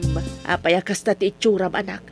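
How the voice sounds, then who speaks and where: A woman's voice: medium pitch, little pitch movement, fast, over loud music, natural voice. A Filipino woman with an Ilocano accent acting as a worried, scolding mother in a radio drama, with hum behind her. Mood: worried, nagging.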